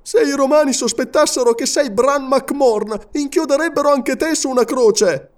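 A stammering giant servant. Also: male voice, very high voice, unhurried, darkish tone, pitched above the performer's own voice. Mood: anxious. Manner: stammering, frantic. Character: deformed giant male servant, loyal, bloodthirsty.

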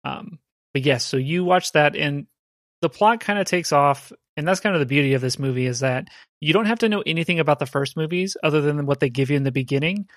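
A man's voice: a little high, brisk, dry recording, studio quality. A man co-hosting a podcast in casual chat, explaining at length and checking in with "right?". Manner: chatty, explaining with rhetorical "right?". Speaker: podcast co-host.

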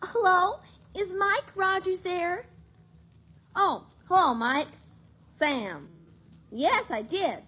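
Excitable high-pitched tomboy little girl. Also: slow pace, long pauses, exaggerated voice. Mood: eager, scheming. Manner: exuberant, bratty. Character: excitable tomboy young girl, gleeful and headstrong. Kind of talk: 1950s radio sitcom acting. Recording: muffled old 1950s radio recording.

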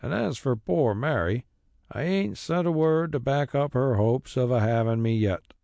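A man's voice: medium pitch, lively intonation, gravelly, warm tone, no echo, clean studio sound, pitched above the performer's own voice. A man voicing a stubborn old rustic carrier. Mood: earnest. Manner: broad rural dialect, slow and roundabout.

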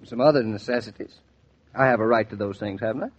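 An actor playing a teasing, good-humored young husband in a 1940s radio drama, with playful, mock-grand banter. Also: male voice, on the low side, quick pace, husky. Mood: eager, generous. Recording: muffled 1940s radio recording.

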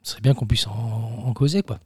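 An indignant, wounded male flatmate acted by a man in an improvised radio comedy. His medium-pitched voice stumbles and repeats words as he reproaches, in a very dry, close studio sound. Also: slightly rough, no echo, lightly acted. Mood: awkward, reproachful. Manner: halting, stammering reproach with many repeated words.

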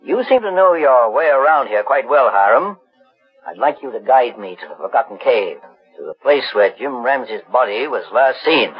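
A shrewd, unflappable investigator from 1940s radio , played by a man. He questions people in a measured, authoritative way with lively rises and falls, on a dull old recording. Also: medium pitch, noisy background, close to an everyday voice. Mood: composed. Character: calm, shrewd male investigator questioning a suspect.

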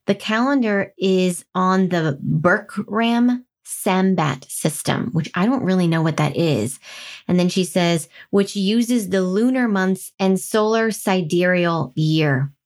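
A woman's voice: on the low side, slow pace, darkish tone. A woman co-hosting a podcast in a casual, conversational style. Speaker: podcast co-host. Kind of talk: casual chat and reading listener mail.

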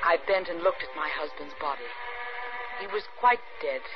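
An actress in 1950s radio suspense style, playing a cold, calculating wife who murders her husband, composed and deliberate. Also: low-pitched, very noisy, natural voice. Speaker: actress narrating in first person. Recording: muffled vintage radio recording with music.

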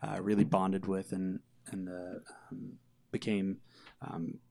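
A man's voice: low-pitched, completely flat delivery, takes it slowly, vocal fry, dark tone. A man chatting casually and a little hesitantly in an interview as a band's singer-songwriter.

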